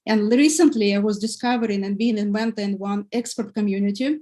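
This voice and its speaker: A woman's voice: medium pitch, a little fast, warm tone. A woman from Kazakhstan moderating a panel in a friendly way with simple phrasing and fillers.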